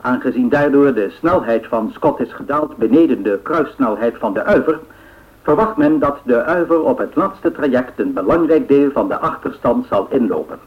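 An actor playing a 1930s radio newsreader, reading bulletins in a formal, measured way in a radio drama. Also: male voice, medium pitch, lively intonation, lightly acted. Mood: formal, factual. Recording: tinny, narrow-band radio sound.

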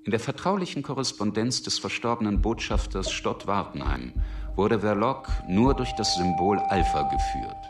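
A man's voice: on the low side, big pitch swings, normal speed. A man reading scripted narration in a radio drama. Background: loud guitar music.

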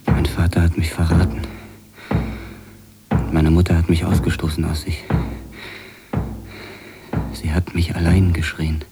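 A man's voice: sits low, monotone, measured pace, long pauses, very noisy. A man giving an intense, brooding dramatic reading of literary texts in the first person for a radio feature. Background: loud music under the voice.

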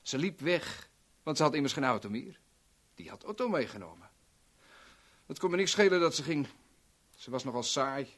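A man's voice: medium pitch, animated, moderate pace, long pauses, a bit bright. An actor playing a sadistic, taunting villain in a 1970s radio drama, theatrical and sneering as he savours his words. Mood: gloating, taunting. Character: sadistic, taunting male villain gloating as a blackmailer.

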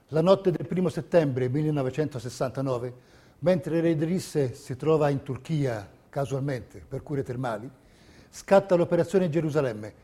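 A man with a soft, dark-toned and slightly high voice narrates a documentary stage piece. He reads the script in measured phrases, as dramatic history and testimony.

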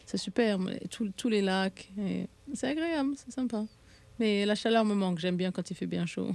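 A woman's voice: mid-range voice, normal speed, creaky, a little mellow. A French woman talks casually as a guest on a community radio program. She speaks in a mid-range voice and often restarts her sentences, filling the gaps with little hesitations. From France. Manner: chatty, with frequent restarts and fillers.

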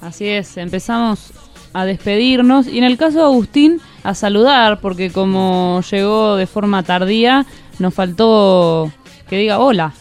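A woman's voice: medium pitch, unhurried, darkish tone, faint music behind. Friendly woman radio co-host. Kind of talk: radio program chat.

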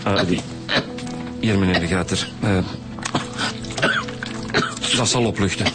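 A folksy Flemish detective with a deep voice. He is colloquial and politely sardonic, in a radio drama with music underneath. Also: slow. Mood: wry, insistent. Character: sardonic, folksy male police inspector, Dams.

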